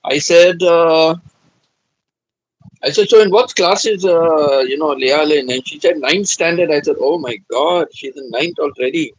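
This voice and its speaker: A man in his seventies hosts a casual live stream, talking slowly in a gentle, grandfatherly way that circles back over his own words. His voice sits a little high for a man and comes through close and dry, with no room around it. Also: no echo, rough recording. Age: 70s. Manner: hums to himself between chats; gentle, grandfatherly, repeats himself.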